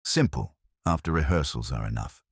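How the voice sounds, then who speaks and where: A man voicing a calm, cutting police inspector in a mystery story, speaking deliberately and theatrically. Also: extremely low pitch, husky, no echo, clean studio sound, exaggerated voice. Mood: kindly, wry.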